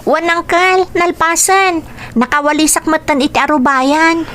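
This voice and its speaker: A woman's voice: high voice, moderate pace, a bit bright, a little hiss or hum. A woman playing a dutiful, weary young girl with a respectful, plaintive way of talking in a radio drama. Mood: obedient. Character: dutiful, weary young girl living under her uncle's roof.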